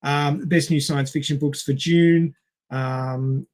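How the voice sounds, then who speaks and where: Man, somewhat higher pitch, podcast co-host, casual chat, hesitant delivery, frequent pauses. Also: steady tone, a little mellow.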